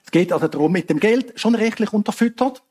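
A man's voice: sits high, lively intonation, quick pace, a little mellow, husky. A man from Switzerland, answering audience questions after a talk in an explanatory way. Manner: explanatory, hedging asides, frequent fillers.

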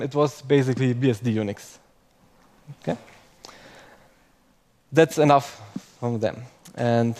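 A man's voice: medium pitch, a little mellow. A young man giving a conference talk in English, which is not his first language, chatty and self-mocking, often ending points with "okay?". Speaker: conference speaker. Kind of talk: conference talk to a live audience.

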